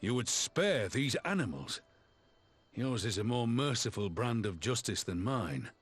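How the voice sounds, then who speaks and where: An actor playing a stern, sober military commander in a video game, speaking gravely, formally and directly. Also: male voice, mid-range voice, sing-song, a little slow. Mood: grave, businesslike. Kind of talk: video game cutscene acting.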